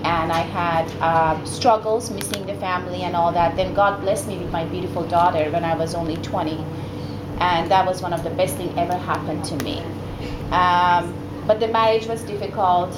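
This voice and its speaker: A middle-aged woman from Iran, a therapist, giving an earnest personal talk to a group of mothers, with many fillers and restarts. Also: lowish voice. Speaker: guest speaker, therapist. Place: meeting room, indoors. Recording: echoey room with background noise.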